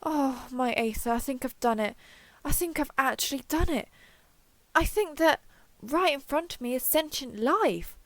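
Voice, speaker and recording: A woman voicing an excitable, wary alien explorer, thinking aloud in a wry, hesitant internal monologue for an audio roleplay. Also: high voice, moderate pace, dry recording. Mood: awed, excited. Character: excitable, wary female alien explorer with blue-grey skin. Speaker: voice actor.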